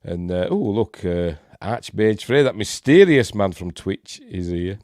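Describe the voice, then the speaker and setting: A man's voice: fairly low pitch, very animated, measured pace, a little mellow, dry recording, studio quality. Snuffly Yorkshire man with a cold. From Yorkshire, England. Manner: rambling, hesitant, many fillers. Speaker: host. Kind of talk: casual live-stream film review.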